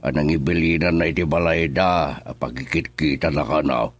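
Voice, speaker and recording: A man's voice: very deep voice, steady tone, normal speed. An actor playing an older Ilocano father in a radio drama, talking in a plain, grumbling way.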